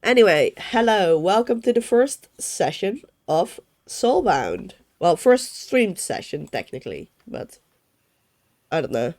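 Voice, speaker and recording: A woman's voice: lowish voice, big pitch swings, takes it slowly, a little mellow. A sick, sniffly woman hosting a streamed tabletop game as game master, chatty and self-correcting as she rambles.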